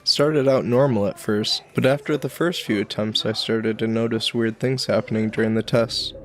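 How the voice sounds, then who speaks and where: A man's voice: lowish voice, fairly even intonation, normal speed, natural voice. A man playing a stubborn, paranoid young driver telling his spooky tale in an audio drama. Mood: wry, paranoid. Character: stubborn, paranoid young male driving-test taker. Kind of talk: audio horror anthology acting. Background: music.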